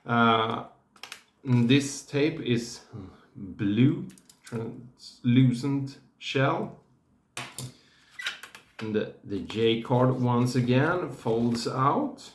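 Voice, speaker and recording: A man reviewing music releases in a casual, hesitant way, pausing often with fillers. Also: mid-range voice, lively intonation, roomy sound, slight background noise. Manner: slow, hesitant, many fillers.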